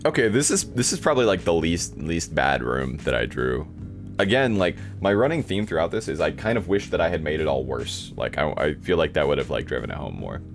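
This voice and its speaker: A man's voice: extremely low pitch, sing-song, brisk, creaky, soft background music. A man doing casual, dry, self-deprecating commentary as he hosts a playthrough of his own game. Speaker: host playing own old visual novel.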